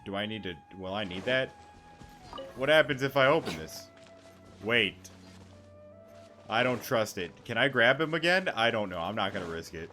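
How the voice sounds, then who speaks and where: A man's voice: fairly low pitch, lively intonation, moderate pace, long pauses, sharp tone, faint music behind. A young man giving casual gameplay commentary, rambling and thinking aloud in slangy, sweary speech. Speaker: gaming YouTuber.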